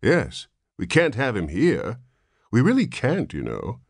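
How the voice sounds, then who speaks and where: A man's voice: medium pitch, huge pitch swings, unhurried, vocal fry, dry recording, clean studio sound, voice pushed up, exaggerated voice. A man voicing a severe, prim woman nurse who gives curt orders. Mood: firm. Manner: stern, curt.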